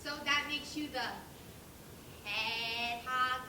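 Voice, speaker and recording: A woman's voice: high voice, steady tone, takes it slowly. A young woman playing a goofy, naive pig juror in an amateur stage comedy, playful and simple-minded. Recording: distant, echoey, noisy stage recording.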